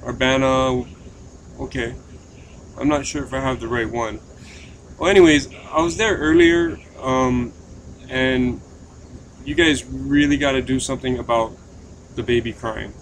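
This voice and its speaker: A man streaming casually and making a phone call, speaking haltingly and often repeating his words. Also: medium pitch, fairly even intonation. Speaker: live streamer, prank caller. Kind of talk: casual livestream chat and prank phone call. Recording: faint background noise.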